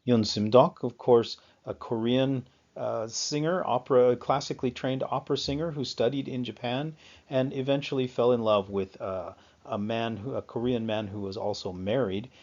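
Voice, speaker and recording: A man giving an unscripted lecture on music history, often pausing with fillers and correcting himself. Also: medium pitch, fairly even intonation, darkish tone. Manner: halting, frequent fillers and self-corrections. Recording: slightly dull lo-fi sound.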